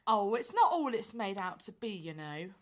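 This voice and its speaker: A woman's voice: medium pitch, very animated, normal speed, natural voice. A woman playing a nosy, world-weary washerwoman in a radio play, folksy and chatty. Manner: folksy, gossiping. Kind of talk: stage musical radio play acting.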